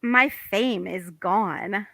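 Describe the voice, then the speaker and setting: A woman's voice: medium pitch, very slow, gravelly, pushed and bright, dry recording, heavily acted. A woman playing a wistful, melancholy young wife in a table read of a stage comedy.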